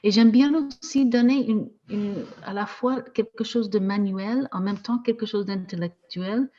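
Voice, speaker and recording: A woman teaching French as a second language, talking casually with slow, hesitant speech and frequent restarts. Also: medium pitch, warm tone, muffled recording. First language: not French. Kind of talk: casual discussion in a video meeting.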